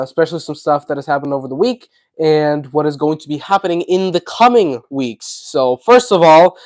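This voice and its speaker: Male streamer, sick voice: congested and hoarse, chatty and rambling, dry close sound. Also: a little high, a little mellow, no room sound. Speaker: livestream host. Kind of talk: casual livestream chat.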